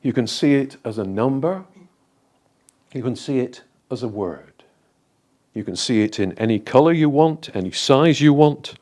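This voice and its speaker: A man leading a guided meditation in a calm, gentle, deliberate way, leaving frequent pauses between phrases. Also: medium pitch.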